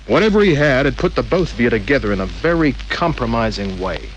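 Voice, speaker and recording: Actor as hard-boiled radio detective, swooping delivery. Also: male voice, medium pitch, big pitch swings, quick pace, soft background music, lightly acted, low-quality recording. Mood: confident, needling. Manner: wry, terse tough-guy delivery. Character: cool, shrewd, hard-boiled male private detective. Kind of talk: old-time radio detective drama acting. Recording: old radio recording with faint hiss.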